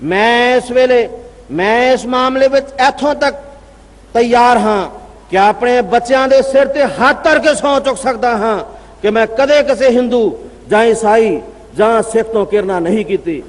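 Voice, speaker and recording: A Punjabi-accented man delivers a formal religious address with a strikingly high voice. He speaks in measured, declaiming phrases and stops now and then to cite his sources. A long reverb trails each line through the large hall. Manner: declaiming with long pauses, reading out citations. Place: convention gathering.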